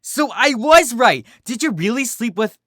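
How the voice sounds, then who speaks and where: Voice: mid-range voice, very animated, moderate pace, pushed and bright, dry recording, studio quality, voice pushed up. A performer voicing a mischievous young female cartoon unicorn, smug, playful and teasing.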